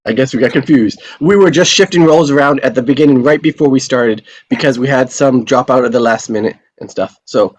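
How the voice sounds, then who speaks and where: A man's voice: medium pitch, brisk, hardly any pauses. A man hosting a table read over a video call, upbeat and easygoing. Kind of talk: casual introductions.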